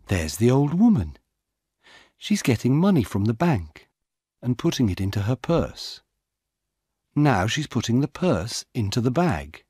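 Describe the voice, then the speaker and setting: A man's voice: mid-range voice, very animated, measured pace, long pauses, warm tone, breathy edge, no room sound, studio quality. Man voicing an eager, swooping policewoman. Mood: alert. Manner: emphatic, pointing things out. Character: alert, observant woman police officer, eager. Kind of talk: audiobook character dialogue.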